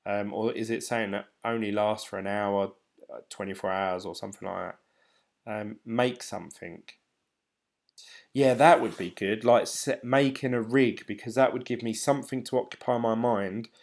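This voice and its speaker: A British man in his thirties, a channel host, chatting casually and hesitantly to viewers on a late-night live stream, tired and a little croaky. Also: lowish voice, fairly even intonation, moderate pace, a little mellow. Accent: British English. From United Kingdom. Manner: rambling, hesitant, many ums and restarts. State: tired, voice getting croaky and dry. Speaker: crypto channel host reading live chat.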